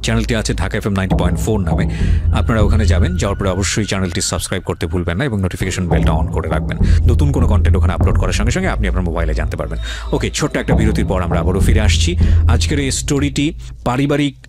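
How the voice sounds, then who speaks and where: Bangladeshi radio host guy, kinda low voice, throws in english phrases, loud music under him. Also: expressive, very fast. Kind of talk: radio interview and commentary. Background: loud background music.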